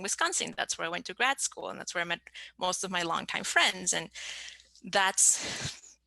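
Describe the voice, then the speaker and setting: Woman scientist, 30s; lowish, gravelly voice, halting delivery full of fillers. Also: bright, forceful tone, dry recording, poor audio. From Peru. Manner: halting, with many fillers and restarts. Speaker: scientist presenter. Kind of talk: online science talk.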